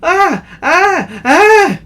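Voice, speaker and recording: A man's voice: very high-pitched, very animated, very slow, sharp tone, pitched above the performer's own voice, full character voice. A man voicing a kindly old merchant, earnest and easily frightened, in a dramatic storybook reading. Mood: panting terror.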